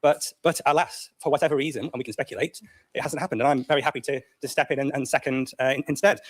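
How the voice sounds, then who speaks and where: Quick-talking male councillor. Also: mid-range voice, steady tone. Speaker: local councillor. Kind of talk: informal political speech.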